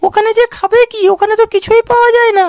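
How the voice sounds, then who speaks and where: A woman's voice: very high voice, steady tone, quick pace, darkish tone, dry recording. A woman voicing a coy, sweet young married woman in a comic cartoon, with a pleading manner. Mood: curious, doubtful. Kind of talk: comic amateur cartoon dialogue.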